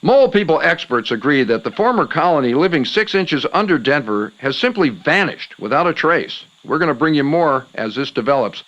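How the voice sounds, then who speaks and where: Late-night conspiracy host, male, acted: highish forceful voice, emphatic, theatrical, irritable streak. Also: very animated, a little fast, few pauses, muffled recording. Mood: showy, solemn. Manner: showy, emphatic. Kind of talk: talk radio patter and headlines.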